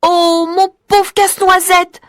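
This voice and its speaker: A woman voicing a curious, anxious young girl in a storybook reading, with a high, wide-eyed, excited delivery. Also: slow pace, no room sound, studio quality.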